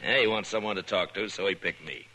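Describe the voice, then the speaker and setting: An actor playing a wry, plainspoken American frontier marshal in a 1950s radio western. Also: male voice, deep voice, brisk, bright, forceful tone. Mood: wry, amused. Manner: dry, teasing, plainspoken. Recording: faintly noisy vintage radio recording.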